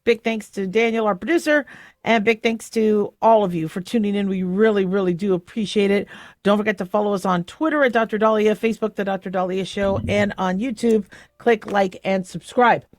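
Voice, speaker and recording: A woman's voice: mid-range voice, steady tone, brisk, no room sound. A middle-aged American woman hosting a radio talk show, giving casual opinion in a conversational way, often searching for words. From southwestern United States.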